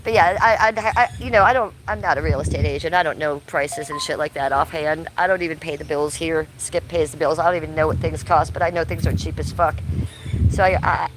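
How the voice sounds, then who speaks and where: Woman, sick and slightly hoarse, lowish voice, casual swearing chatter, outdoor backyard, faint street noise. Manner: chatty, loose, swears casually, talks to chat viewers by name. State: sick, coughing, losing her voice. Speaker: livestreamer. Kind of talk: casual livestream chat outdoors. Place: backyard under trees, outdoors.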